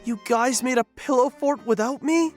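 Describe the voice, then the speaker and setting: An actor playing a cocky, goofy young joker in an audio drama, playful and dramatic. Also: male voice, very high voice, moderate pace, soft background music.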